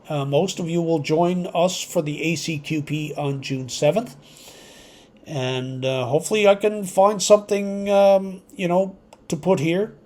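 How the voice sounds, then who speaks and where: A man who hosts an amateur radio channel, talking to his subscribers in a casual, unscripted, halting and reflective way that rambles. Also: a little high. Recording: clear steady background noise.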